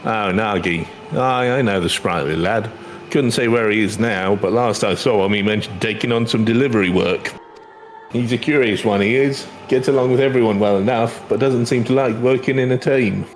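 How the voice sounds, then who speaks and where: A man's voice: lowish voice, moderate pace, a little hiss or hum, lightly acted. A man voicing a friendly, concerned fantasy game townsperson with folksy phrasing.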